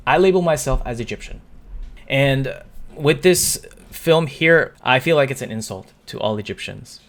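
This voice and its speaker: A man's voice: medium pitch, lively intonation, normal speed. A young man talking to camera in an opinion vlog. He is upset and earnest, and he often trips over and restarts his words. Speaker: YouTuber.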